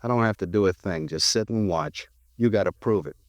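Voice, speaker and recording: Male actor as sarcastic ex-con suspect, fifties radio noir; lowish, dry sound, defiant slangy wise guy. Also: fairly quick, no echo, dull audio, lightly acted. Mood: defiant, mocking. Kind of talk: 1950s radio crime drama acting.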